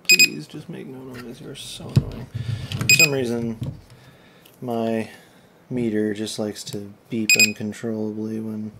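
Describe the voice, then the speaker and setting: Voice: medium pitch, flat delivery, measured pace. A host giving casual, off-the-cuff repair commentary, rambling and muttering while thinking aloud on a noisy recording. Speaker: video host repairing electronics.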